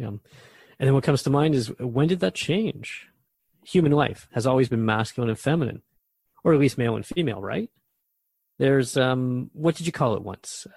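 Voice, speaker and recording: Gravelly, husky man, lively intonation. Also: medium pitch, dry recording. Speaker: radio host in a scripted dialogue. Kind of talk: conversational radio drama dialogue, questioning.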